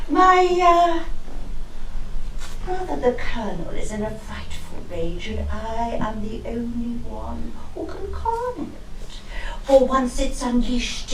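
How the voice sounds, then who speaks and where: A woman's voice: fairly high pitch, exaggerated voice. A woman playing an imperious, lustful older aunt in a theatrical rhymed costume comedy, commanding and suggestive. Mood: menacing, gleeful. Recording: very echoey room, distant mic, faint noise.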